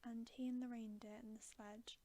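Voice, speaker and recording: A woman reading a bedtime story as ASMR in a soft, breathy whisper, gentle and hushed. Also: fairly high pitch, level tone, normal speed. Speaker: ASMR reader.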